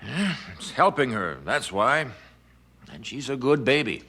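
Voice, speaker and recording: An actor playing a reassuring, overconfident small-town physician in an old-fashioned radio drama, soothing with small hesitant hums. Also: male voice, medium pitch, big pitch swings, a little slow, sharp tone, slightly rough, full character voice.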